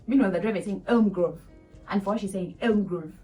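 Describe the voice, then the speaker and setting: A woman's voice: mid-range voice, fast, recorded from a distance, soft background music. Laughing Ugandan woman telling a story. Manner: animated, laughs often, addresses viewers as guys. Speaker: vlogger. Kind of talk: casual storytime chat. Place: small room indoors.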